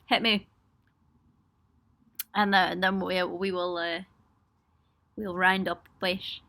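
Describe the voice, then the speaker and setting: A woman's voice: lowish voice, little pitch movement, slow pace, pushed and bright. A young woman chatting casually on a webcam livestream from a small home studio, answering viewer questions with lots of ums, laughs and self-mocking jokes. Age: young adult. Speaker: musician hosting a livestream for supporters.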